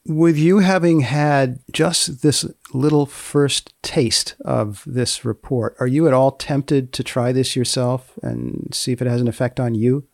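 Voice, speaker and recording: A man hosting a podcast, putting questions and presenting in a measured, deadpan, inquisitive way. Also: mid-range voice, gravelly, no echo, clean studio sound. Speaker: podcast host and magazine editor.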